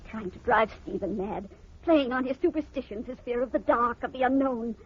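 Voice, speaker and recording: Actress as high-voiced, melodramatic young radio wife. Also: female voice, normal speed, natural voice. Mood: furious, accusing. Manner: melodramatic, breathless urgency. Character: anguished, accusing young wife, passionate and indignant. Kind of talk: 1940s radio drama acting. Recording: dull, hissy vintage radio recording.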